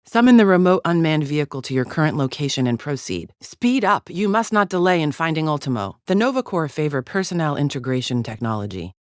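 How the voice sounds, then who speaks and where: A woman's voice: deep voice, sing-song, brisk, few pauses, a little mellow, dry recording, studio quality. An actress playing a haughty alien gladiator in a video game, formal, clipped and menacing as she guides the player with open contempt. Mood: commanding, impatient. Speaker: mission guide character.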